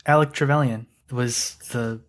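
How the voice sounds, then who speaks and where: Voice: medium pitch, steady tone, unhurried. A podcast co-host chatting casually about a book.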